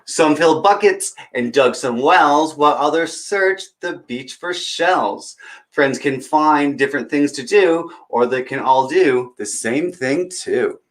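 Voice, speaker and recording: A man's voice: on the high side, unhurried. A man reading a picture book aloud as a children's story time host, adding chatty, encouraging asides to young listeners.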